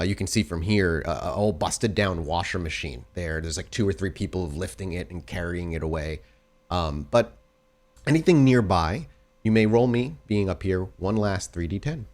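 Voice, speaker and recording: A man's voice: low-pitched, darkish tone. A man running a tabletop roleplaying game, narrating scenes descriptively and often rephrasing as he goes.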